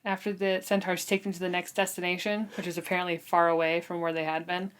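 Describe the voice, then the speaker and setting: A woman's voice: fairly low pitch, flat delivery, fast, clear, resonant tone. A woman co-hosting a book discussion, recapping a novel in a casual, giggly, conversational way. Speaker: book discussion podcast co-host.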